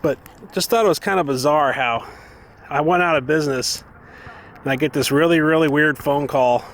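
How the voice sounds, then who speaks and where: Rambling man, slightly high voice, outdoors. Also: normal speed, a bit bright. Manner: rambling, conversational. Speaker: vlogger, former online knife maker. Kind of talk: casual storytelling vlog. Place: outdoors, walking dogs in an urban area. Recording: outdoor ambience and traffic noise. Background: faint outdoor and vehicle noise.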